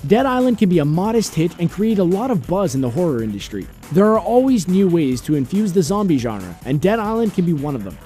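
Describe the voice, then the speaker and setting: A man's voice: high-pitched, warm tone. A man reading scripted countdown-video narration, recorded close on a mic over background music.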